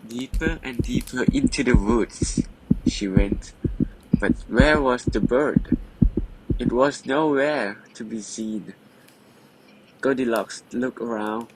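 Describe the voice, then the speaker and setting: A male narrator reads a children's fairy tale from a script. He takes it very slowly at a middling pitch, over a noisy, clicky recording. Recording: clear background noise, clicks.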